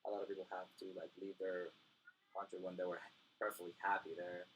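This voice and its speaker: A man's voice: deep voice, little pitch movement, a bit bright, dull audio, slight background noise. A young man from Costa Rica and Venezuela, a poker player chatting casually as an interview guest at home, rambling with lots of "like". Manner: fast, rambling, many fillers "like". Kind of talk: casual chat.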